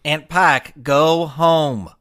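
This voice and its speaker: An actor playing an earnest, upright fish deputy in a cartoonish Western audio drama, speaking in a firm, folksy way. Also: male voice, a little high, very slow, fairly bright tone, clear, resonant tone. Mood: exasperated.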